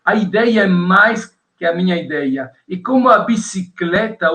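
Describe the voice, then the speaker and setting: Man, italian accent, guest professor, measured lecture tone, high voice, bright tense timbre. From Italy. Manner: measured, lists points one by one. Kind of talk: lecture-like explanation on a podcast.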